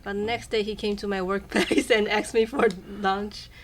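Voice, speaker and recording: A woman's voice: mid-range voice, level tone, slight background noise. Giggly young woman. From Taiwan. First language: Mandarin Chinese. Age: young adult. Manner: halting, giggly, searching for English words. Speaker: guest, married student. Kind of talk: casual podcast chat.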